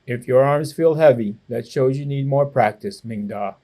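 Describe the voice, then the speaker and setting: A man reading the part of a calm, firm old grandfather who gives measured instructions in a children's storybook. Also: mid-range voice, natural voice. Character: calm, firm old Chinese grandfather and Tai Chi teacher.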